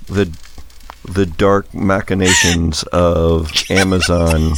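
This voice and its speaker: A man improvising narration as a game master at a tabletop game, phrasing things in a halting, thoughtful way. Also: low-pitched, little pitch movement, very noisy.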